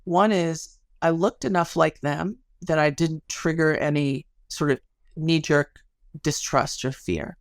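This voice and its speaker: A woman's voice: sits low, fairly even intonation, measured pace, no room sound, clean studio sound. A woman being interviewed as an academic researcher, telling stories conversationally with colloquial asides and vivid phrasing.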